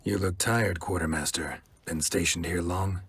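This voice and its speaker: An actor playing a gruff, stoic middle-aged monster hunter in a video game, gravelly and deadpan, in short clipped sentences. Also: male voice, low voice, moderate pace. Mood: flat, wary. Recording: music behind the voice.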